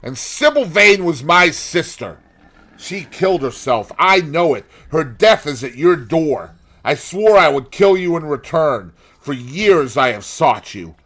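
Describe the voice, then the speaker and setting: A man voicing a grim, vengeful sailor in an audiobook, growling threats in a forceful, menacing way. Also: on the high side, very animated, a little slow, voice pushed up, full character voice.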